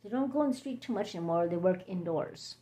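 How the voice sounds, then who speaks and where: A woman with a hoarse, scratchy throat, hosting a rambling late-night livestream chat from home, halting and drifting between topics. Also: lowish voice, lively intonation, warm tone. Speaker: livestream host.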